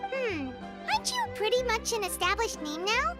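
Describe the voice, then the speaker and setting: A tiny fairy companion with an extremely high, squeaky child's voice that swoops all over. The character is bubbly, curious and cheerful, in anime game style over prominent music. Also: female voice, huge pitch swings, normal speed, heavily acted. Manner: bubbly, inquisitive, refers to self in third person.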